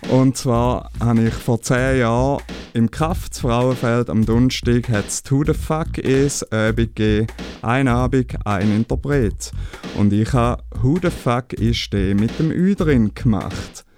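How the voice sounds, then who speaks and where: A man's voice: medium pitch, a little slow, darkish tone. A man with a Swiss German accent, casually hosting a music show on the radio.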